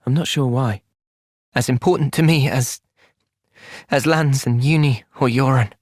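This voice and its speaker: A young man acting an earnest, thoughtful soldier hero in a video game cutscene, speaking in a measured, sincere way. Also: medium pitch, dry recording, clean studio sound, natural voice. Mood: gentle, thoughtful.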